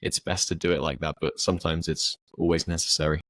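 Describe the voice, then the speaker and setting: A man with a very low voice explains language learning the way a teacher does, calm and measured. His pitch barely moves, so he sounds steady and flat. The recording is dry and close. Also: no echo. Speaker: English teacher and podcast co-host. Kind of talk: casual chat.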